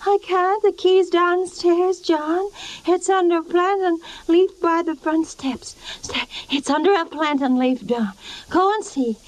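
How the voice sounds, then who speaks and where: A woman's voice: very high-pitched, measured pace, low-quality recording. An actress playing an obsessive, sly wife losing her mind in an old time radio horror drama, intense and theatrical, confiding and frantic. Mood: agitated, pleading. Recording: old radio recording with loud music.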